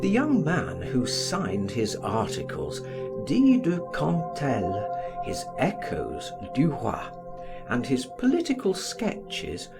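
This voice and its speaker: A man reading a literary audiobook aloud in a theatrical, deliberate, expressive style. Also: mid-range voice, over loud music. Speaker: audiobook reader.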